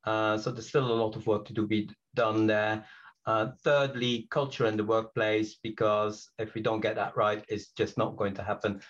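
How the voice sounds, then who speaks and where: Belgian man, hesitant and reflective, lo-fi sound. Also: lowish voice. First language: not English. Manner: reflective, hesitant, many fillers and restarts. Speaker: guest. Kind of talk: podcast interview.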